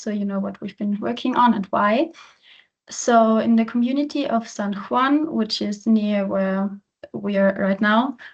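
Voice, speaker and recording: Austrian woman presenting over a muffled call. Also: fairly high pitch. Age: 20s. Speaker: student intern presenter. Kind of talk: online presentation. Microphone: video call. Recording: muffled lo-fi video call audio.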